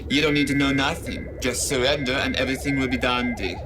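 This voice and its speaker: A man's voice: mid-range voice, fairly even intonation, fast, background noise, natural voice. A man voicing a condescending alien colonizer in an audio drama, with a patronizing salesman's politeness. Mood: dismissive.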